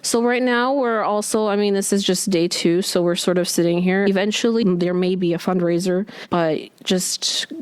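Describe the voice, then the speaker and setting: A young woman from Poland, answering interview questions in a thoughtful, halting way with frequent restarts. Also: mid-range voice, steady tone, clear, resonant tone, no echo. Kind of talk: candid interview.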